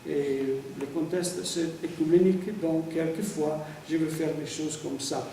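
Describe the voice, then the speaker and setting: A man with an Italian accent, a priest who paints icons, giving a lecture and often pausing to hunt for words. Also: fairly high pitch, steady tone, creaky, mellow, slight background noise. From Italy. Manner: halting, searching for words with frequent fillers. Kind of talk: lecture on sacred art. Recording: echoey hall, speaker far from mic.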